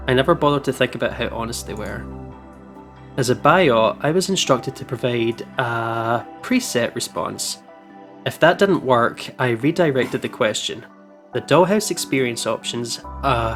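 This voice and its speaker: A man hosting a let's play, casually commenting and reading visual novel text aloud in an informal, jokey way. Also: mid-range voice. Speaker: video game let's play host.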